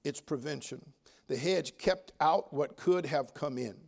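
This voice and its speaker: A man preaching a sermon in a folksy, emphatic manner, swinging between loud exhortation and quieter joking asides. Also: fairly high pitch, unhurried, creaky. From United States. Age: 70s. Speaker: Baptist preacher.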